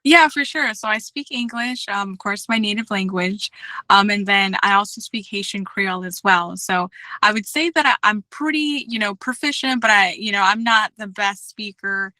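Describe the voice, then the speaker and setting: Bright, crisp-voiced woman interviewee. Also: medium pitch, dry recording, rough recording. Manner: measured, many fillers, careful restarts. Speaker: job candidate. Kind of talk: formal job interview answers. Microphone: video call.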